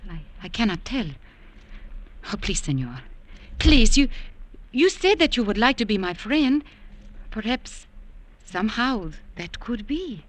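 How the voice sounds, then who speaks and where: An actress playing a desperate, secretive young servant woman, halting and earnest, in a 1940s radio drama. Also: on the high side, sing-song.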